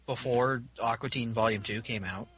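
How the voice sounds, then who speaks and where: Husky-voiced man on a podcast, brief interjections, dry sound. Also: medium pitch, steady tone, normal speed, a bit bright, no room sound. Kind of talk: casual fan chat.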